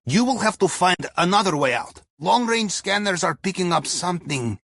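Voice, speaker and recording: A man playing a wry Russian submarine captain in a video game, briefing a heist crew with deadpan, folksy remarks and a Russian accent. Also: sits high, moderate pace, vocal fry, dry recording, clean studio sound, full character voice. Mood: dry, instructive. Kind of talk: scripted game mission briefing.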